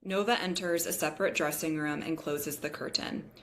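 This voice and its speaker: A woman with a lowish voice narrates a script at a table read. She reads out the stage directions between the character parts. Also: steady tone, moderate pace, a bit bright, slight background noise.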